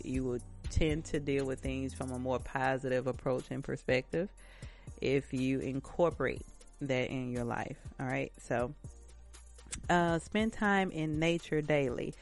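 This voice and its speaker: A woman's voice: extremely low pitch, a little mellow. Chatty, encouraging woman host, slightly stuffy. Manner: chatty, encouraging, addresses listeners directly. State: stuffy-nosed from allergies. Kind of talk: casual self-care advice talk.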